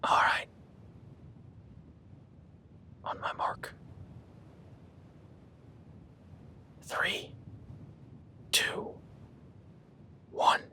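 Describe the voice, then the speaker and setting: A man playing a gruff cowboy hunter in an audio roleplay, whispering while stalking and swearing and giving orders in a crisis. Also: drawn-out words, bright, forceful tone, heavily acted. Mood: hushed, tense. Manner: swearing, commanding, urgent. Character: gruff rugged cowboy hunter tracking a beast. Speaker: ranch hand tracking a beast.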